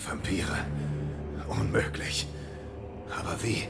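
A man playing a gruff, commanding knight with terse delivery in a dramatic video game audio play. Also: extremely low pitch, slow, over music, exaggerated voice. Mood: stunned disbelief.

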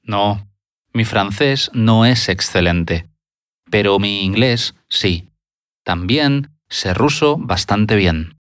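Brief: man, lesson speaker, slow and low, dry close sound, repeats every answer in full sentences. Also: a bit bright, no room sound. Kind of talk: slow, deliberate lesson dialogue.

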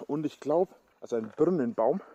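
A man's voice: on the high side, animated, warm tone, dull audio. Breathless man vlogging on a forest walk. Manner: rambling, halting with fillers. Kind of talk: walking vlog narration. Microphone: handheld camera.

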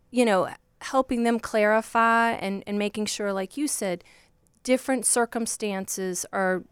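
A woman's voice: medium pitch, clean tone, dry recording. A woman from Virginia with a Southern accent speaking earnestly as a guest in a casual podcast chat. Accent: Southern American, Virginia. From Virginia, USA. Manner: earnest, heartfelt, with many restarts. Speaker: guest, divorce coach.

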